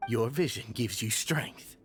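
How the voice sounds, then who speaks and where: An actor playing an arrogant, theatrical prison tyrant in a video game, sneering and domineering, prone to panic when cornered. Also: male voice, mid-range voice, a little slow, a bit bright, soft background music, lightly acted. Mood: sneering, menacing.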